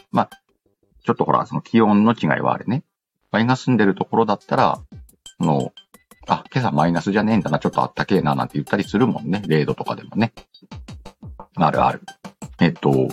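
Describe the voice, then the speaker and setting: A man's voice: low-pitched, vocal fry. A man hosting a casual radio-style show, chatting and reading listener letters in a playful, slangy way with self-interrupting asides. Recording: dull lo-fi sound with music behind. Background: background music.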